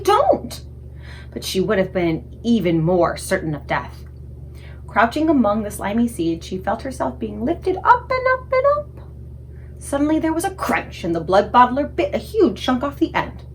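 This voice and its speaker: A woman narrating a children's storybook aloud in an expressive, scripted read-aloud style. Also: fairly high pitch, slight background noise, low-quality recording.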